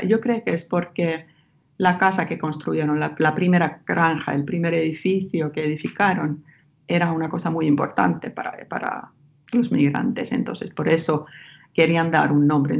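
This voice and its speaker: Woman, Finnish accent, native Finnish speaker, gravelly voice, slightly dull sound, thoughtful, hesitant. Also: sits low. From Finland, Ostrobothnia. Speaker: researcher guest. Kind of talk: academic interview.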